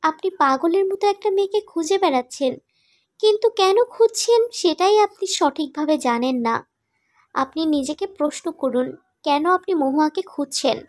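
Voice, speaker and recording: A woman playing a concerned, questioning young friend in an animated romantic drama. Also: high-pitched, normal speed, dry recording, clean studio sound, close to an everyday voice. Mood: curious, concerned.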